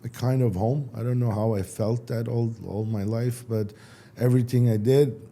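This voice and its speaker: A man, a startup founder answering interview questions casually in halting, with many restarts. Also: mid-range voice, steady tone, warm tone. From Iran. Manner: halting, restarts, non-native phrasing.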